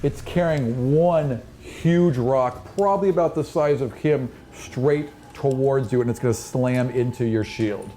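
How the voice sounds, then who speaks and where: A man with a dark, relaxed voice acts as game master for a tabletop fantasy game. He improvises scene description casually and slips in rules talk as he goes. There is faint noise behind him. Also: mid-range voice, a little slow, hardly any pauses.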